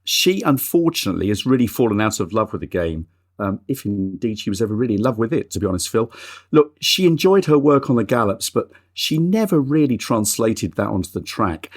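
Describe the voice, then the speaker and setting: A man's voice: fairly low pitch, sing-song, fairly quick. A middle-aged man hosting a horse racing podcast in an easygoing, chatty way. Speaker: podcast host. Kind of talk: casual podcast chat.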